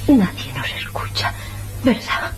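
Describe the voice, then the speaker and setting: An actress playing an anxious, pleading woman, halting and solemn, in an old-fashioned hospital radio melodrama. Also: whispered, close to an everyday voice. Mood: weak, troubled pleading. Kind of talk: old-fashioned Spanish radio serial acting.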